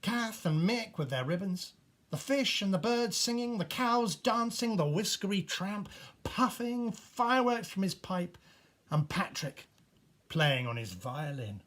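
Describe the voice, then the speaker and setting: A man reading a children's storybook aloud in an animated, expressive way with dramatic pauses. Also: high voice. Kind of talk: animated scripted storybook narration.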